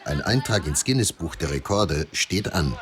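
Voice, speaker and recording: A man with a very low voice narrates a sports feature. His reading moves with lively swings in pitch. Steady noise runs under the voice-over. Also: moderate pace, a crowd in the background. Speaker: documentary narrator.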